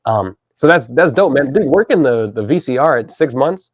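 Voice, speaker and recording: A man's voice: mid-range voice, lively intonation, normal speed, dry recording. Male podcast host, 30s, chatty and enthusiastic, dry close sound. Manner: enthusiastic, says dude and man a lot. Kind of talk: casual interview chat.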